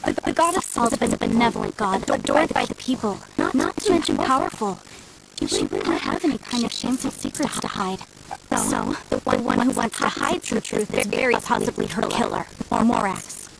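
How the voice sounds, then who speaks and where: A woman's voice: fairly high pitch, huge pitch swings, normal speed, vocal fry, heavy background noise, full character voice. Swooping, stammering young woman researcher. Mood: nervous conviction. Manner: stammering, repeats words, hesitant. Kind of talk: video game character dialogue. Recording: loud music behind the voice.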